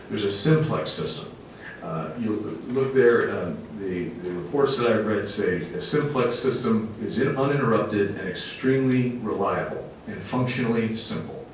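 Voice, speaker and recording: Echoey, distant man giving a public presentation. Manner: emphatic, plainspoken, folksy. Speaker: fire company officer giving a presentation. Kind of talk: public presentation to a council committee. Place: large echoey indoor meeting room. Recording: very echoey room, distant mic, faint rumbling noise.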